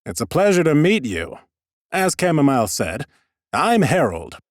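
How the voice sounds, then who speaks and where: Ancient lich, male narrator in character: courteous, aloof, formal fantasy audiobook dialogue with expressive pitch, dry booth sound. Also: moderate pace, no echo. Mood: polite, unruffled.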